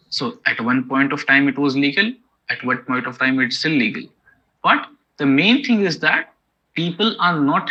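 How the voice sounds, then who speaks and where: A man with an Indian English accent lectures in a very bright, slightly husky voice, pitched a touch high. He explains point by point and keeps checking in with "okay?" and "you know". The recording sounds dull and lo-fi. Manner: explanatory, many okays and you-knows. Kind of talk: academic lecture.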